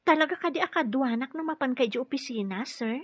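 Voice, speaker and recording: A woman's voice: high-pitched, animated, fast, breathy edge, dry recording. An actress playing a polite young female nurse who speaks deferentially to her superiors in an Ilocano radio drama. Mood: deferential, surprised. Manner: respectful, addressing superiors as sir.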